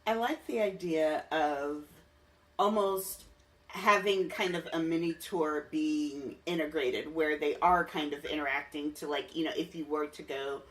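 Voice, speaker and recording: A woman's voice: sits low, steady tone, unhurried, recorded from a distance, poor audio. A woman hosting a podcast in a casual, enthusiastic, fannish and giggly chat. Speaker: podcast host.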